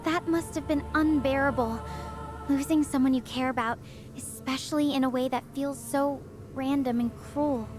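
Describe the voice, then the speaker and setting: A woman voicing a stranded young scientist who speaks softly and reflectively, asking sympathetic questions over background music. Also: sits high, fairly even intonation, normal speed, lightly acted. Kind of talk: improvised fan audio drama.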